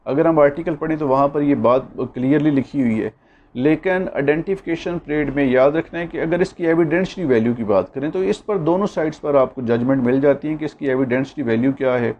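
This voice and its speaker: A man's voice: fairly high pitch, fairly quick, dull audio, slight background noise. A man with a Pakistani accent giving an informal law lecture, instructively reminding students of points to remember.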